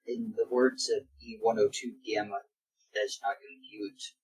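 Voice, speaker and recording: A man reading along and joking casually in a group, halting and incredulous as he goes. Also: on the low side, little pitch movement, slightly rough, low-quality recording. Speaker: reader on a bad fanfiction show.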